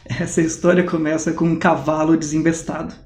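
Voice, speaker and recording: A man's voice: on the high side, level tone, fairly quick, a little mellow, a little hiss or hum, natural voice. An actor with a Brazilian accent playing a Swiss ex-mercenary in his late thirties who tells war stories in a dry, amused way. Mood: wry. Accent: Brazilian Portuguese. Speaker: acting student. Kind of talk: mock-documentary stage acting. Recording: echoey room.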